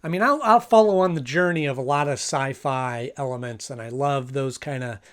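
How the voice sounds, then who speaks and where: A man's voice: mid-range voice, normal speed, a bit bright. A man hosting a casual review, commenting in a conversational, thinking-aloud way with emphasis. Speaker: host, cartoon reviewer.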